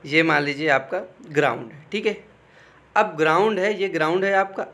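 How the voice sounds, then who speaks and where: Young man, slightly high voice, informal tutorial style, conversational, frequent check-ins. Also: normal speed, fairly bright tone. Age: young adult. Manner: conversational, repeatedly checks in with "okay". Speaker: exam guidance YouTuber. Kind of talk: informal explainer to students.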